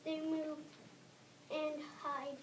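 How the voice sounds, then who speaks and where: Little girl reading enslaved farm worker dreaming of freedom, high voice, halting, far from mic with noisy background. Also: level tone. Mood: hopeful. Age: child, about 8 to 9. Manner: halting read-aloud with repeated words. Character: weary enslaved farmhand longing for freedom. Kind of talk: school readers theater, reading lines aloud. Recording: echoey noisy room from far off.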